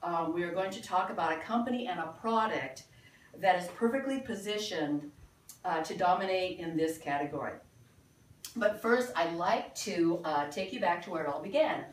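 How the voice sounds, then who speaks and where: A woman in her sixties from the Philippines, giving an earnest, halting presentation to a small group with many fillers. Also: fairly low pitch, expressive. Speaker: presenter at a sales meeting. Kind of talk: informal presentation. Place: meeting room. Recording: echoey room from far off.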